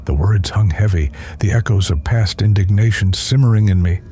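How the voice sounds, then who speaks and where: A man giving scripted, theatrical first-person narration for an audio drama in a solemn, measured manner. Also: fairly low pitch, mellow, a little hiss or hum. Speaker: narrator and voice actor. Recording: prominent music behind. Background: music.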